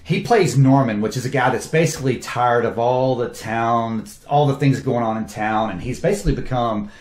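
A man's voice: medium pitch, moderate pace. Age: adult. Sex: man. Role: film director. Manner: enthusiastic storyteller. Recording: roomy echo. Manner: enthusiastic, animated storytelling with quoted asides. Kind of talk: casual interview talk.

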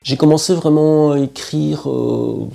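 A man, a poet and literature teacher speaking to camera in an interview, reflective and halting with frequent hesitations. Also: on the high side, fairly even intonation, gravelly, mellow. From Belgium. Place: indoor room with plants, at home.

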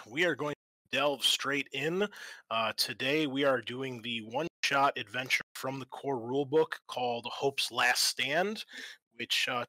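A man's voice: mid-range voice, bright, forceful tone, no echo, poor audio. A man hosting a roleplaying podcast as game master, moving between casual chat and scene-setting narration. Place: online group call.

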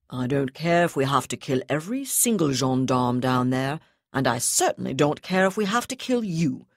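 A woman voicing a cold, contemptuous male navy commodore who speaks with calm, clipped precision. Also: extremely low pitch, no room sound, studio quality, heavily acted.

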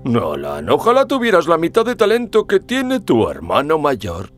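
A man's voice: fairly high pitch, huge pitch swings, normal speed, soft background music, pitched above the performer's own voice. Pompous king and father acted by a man, disparaging, swooping intonation, cartoon fairy tale. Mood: disdainful. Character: pompous royal father favouring his elder son.

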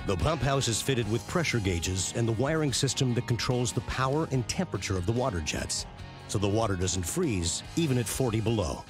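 Male narrator for a factory documentary series, mid-range voice, punchy scripted read over a clearly audible music bed. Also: medium pitch, lively intonation, brisk. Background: prominent background music.

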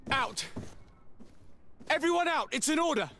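A man's voice: very high voice, animated, a little slow, gravelly, pushed and bright, a little hiss or hum, exaggerated voice. A young man playing an earnest, loyal noble envoy in a video game, speaking formally. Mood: urgent. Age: young adult. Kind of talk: scripted video game acting.

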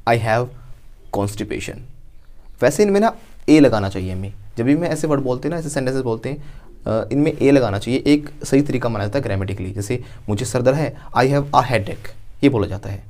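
A man's voice: medium pitch, animated, moderate pace, darkish tone. A man in his thirties teaching a spoken English lesson, acting out little everyday scenes as he goes. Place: dark studio.

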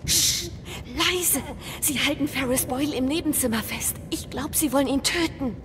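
Actress as an anxious, high-voiced woman. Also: normal speed, background noise, natural voice. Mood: anxious awe. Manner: hushed, worried. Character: anxious, awed female party guest.

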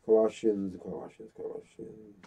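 A middle-aged man with a stuffy nose, slowly reading scripture aloud as a Bible teacher, pausing between phrases. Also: fairly low pitch, level tone, dark tone, husky. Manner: slow, deliberate reading with pauses, stuffy-nosed. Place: small room before a brick wall. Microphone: fixed camera, head and shoulders. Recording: dull lo-fi sound.

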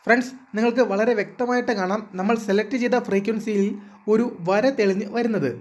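A man explains things as the host of an electronics tutorial. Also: high-pitched.

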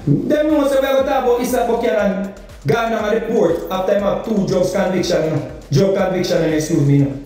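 A middle-aged Jamaican man rants about politics into a phone held out at arm's length, repeating himself and firing off rhetorical questions. His high voice sounds a little distant from the mic. The room echoes heavily, with faint music underneath. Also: quick pace, warm tone. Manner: insistent, rhetorical questions, emphatic repetition. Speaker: political vlogger. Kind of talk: political commentary rant. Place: indoor kitchen or room.

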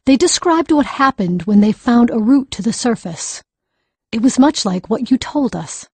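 Brisk, husky woman healer. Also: on the high side, lively intonation, darkish tone, no room sound. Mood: grave, explanatory. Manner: measured, authoritative. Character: calm, brisk, learned woman healer-mage.

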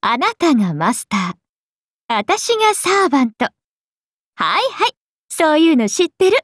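A woman's voice: high-pitched, sing-song, normal speed, dry recording, studio quality. A woman voicing a breezy, friendly grown serpent-goddess in a game, with chatty, teasing, laid-back slang. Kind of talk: game character dialogue.

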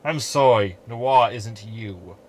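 A man's voice: lowish voice, takes it slowly. A man playing a sarcastic, scheming hologram in a sci-fi comedy audio drama, wordy and mocking.

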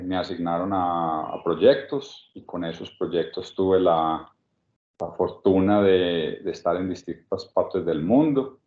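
A Colombian man in his forties with a very low voice, speaking from a small room that sounds echoey. He tells his story in a relaxed, halting way full of pauses, and the webcam audio comes through a bit dull. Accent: Colombian, from Medellín. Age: 40s. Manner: relaxed, with many restarts and "eh" pauses. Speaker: guest speaker at a workshop. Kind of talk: personal story in a video call. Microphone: webcam on a video call.

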